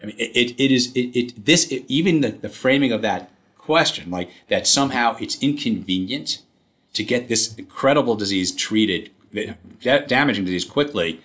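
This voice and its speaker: A man, a company chief telling his story in a casual interview, halting and restarting often, with dry humor. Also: mid-range voice, animated, a bit bright. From New York, USA. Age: 60s. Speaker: guest, company CEO.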